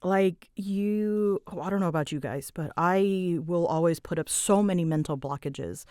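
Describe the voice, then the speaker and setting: A woman's voice: lowish voice, moderate pace, smooth, no room sound. A woman chatting casually in a rambling, self-deprecating way as an online creator answering listener questions, close to the mic. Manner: chatty, self-deprecating, animated when quoting herself.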